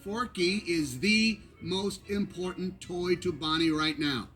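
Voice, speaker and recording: An actor playing a loyal, anxious male cowboy toy who leads the other toys, talking earnestly and quickly in animated film dialogue. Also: high-pitched, faint music behind. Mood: upbeat. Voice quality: earnest, fast-talking, emphatic.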